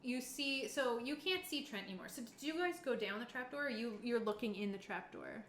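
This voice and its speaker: Woman game master chatting thru the scene, bright highish voice, echoey room w some noise. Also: fairly quick. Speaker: game master running a tabletop session. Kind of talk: improvised tabletop game narration and chat.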